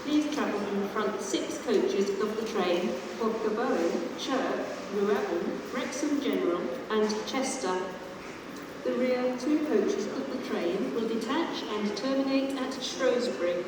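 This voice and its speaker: An English woman gives formal, measured automated railway announcements in stitched-together phrases over a station public address system. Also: fairly high pitch, lively intonation. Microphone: distant recorder picking up loudspeakers. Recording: echoey station tannoy from far off, noisy. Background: clear station ambience noise.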